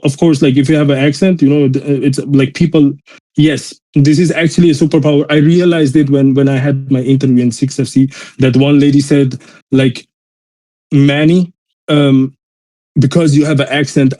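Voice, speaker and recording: Mellow-voiced man with a mixed European accent. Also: medium pitch, steady tone, moderate pace. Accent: mixed European accent in English. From Austria. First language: German. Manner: rambling, emphatic, bro slang. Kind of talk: casual motivational chat.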